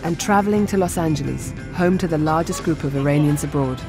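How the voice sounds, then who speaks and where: A woman in her forties who grew up in Iran, presenting a documentary in calm, reflective first-person narration. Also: low-pitched, a little fast. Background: music under the narration.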